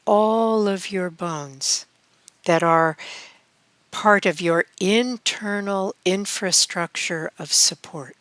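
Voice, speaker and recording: Female meditation guide: calm, extremely unhurried delivery with long pauses, smooth clear tone, close and dry sound. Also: on the low side, no echo. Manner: calm, measured, with long pauses between phrases.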